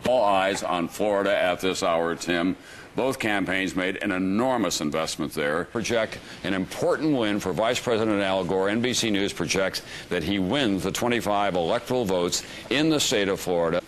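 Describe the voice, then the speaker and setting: A man's voice: low-pitched, normal speed, a little hiss or hum. A man anchoring live election-night television news coverage.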